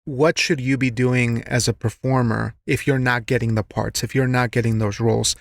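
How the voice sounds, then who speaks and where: A man's voice: medium pitch, steady tone, normal speed, vocal fry, no room sound. A man with a mid-range voice interviews a guest in a very dry-sounding recording. He is conversational, appreciative and encouraging as he hosts. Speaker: podcast host, interviewer.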